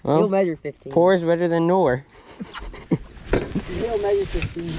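A teenage boy chatting excitedly while bass fishing from a boat outdoors, recorded on a head-mounted camera. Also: unhurried, noisy background. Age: teens. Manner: excited, laughing, short remarks. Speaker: youth angler. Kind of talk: casual chat while fishing. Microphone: head-mounted action camera.

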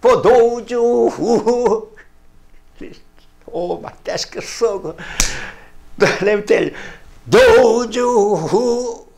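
An older man with a Korean first language preaching a sermon to camera, slow and emphatic, often calling out hallelujah, in broken English. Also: very high-pitched, vocal fry, a little mellow. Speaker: pastor. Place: plain studio room, indoors.

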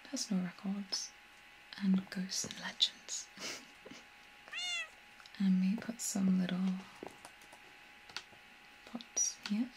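A young woman giving whispered ASMR commentary over a video game, breathy, soft, gentle and a little hesitant. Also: medium pitch, background noise. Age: young adult. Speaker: video game streamer and tour guide.

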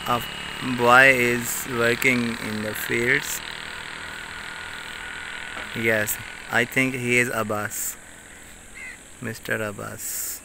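A young man with a Pakistani accent, a native Saraiki speaker, talks in halting English full of "uh" fillers while filming himself on a phone held at arm's length as he walks outdoors through farm fields. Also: mid-range voice, little pitch movement, creaky, noisy background. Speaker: village vlogger. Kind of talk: casual walking vlog. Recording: faint rural outdoor ambience.

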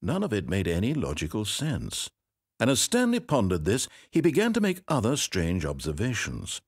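A man voicing an omniscient, wry and theatrical narrator in a video game, reading dramatic scripted narration that swings from hushed asides to exclamations. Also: fairly low pitch, huge pitch swings, normal speed, breathy edge, dry recording, studio quality, full character voice.

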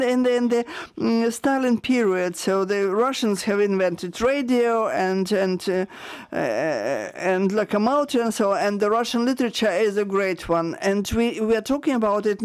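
Slow, halting woman interpreter. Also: medium pitch, darkish tone. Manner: halting, searching for words, frequent restarts. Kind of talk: live interpretation of a panel discussion.

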